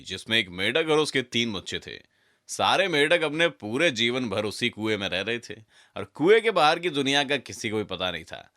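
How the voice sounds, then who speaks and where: A man's voice: medium pitch, bright, forceful tone. A man narrating a scripted children's fable as a storyteller.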